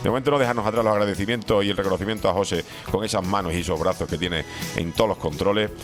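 A man's voice: on the low side, steady tone, rapid-fire. A man hosting a radio show, chatty and friendly, speaking from a studio. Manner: friendly, chatty, laughs easily. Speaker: radio host. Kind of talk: radio interview and sign-off. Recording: radio broadcast with music underneath. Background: music playing.

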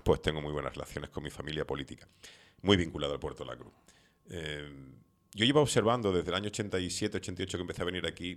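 Middle-aged guy hosting an interview, really low voice, talks fast. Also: a bit bright. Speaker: host interviewer. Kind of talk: conversational interview with long opinionated questions.

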